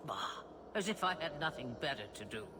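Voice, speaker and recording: A woman's voice: very deep voice, sing-song, a little fast, background noise. An actress playing an ancient, cryptic witch in a video game, an older woman with a theatrical, musing, teasing manner. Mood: wry.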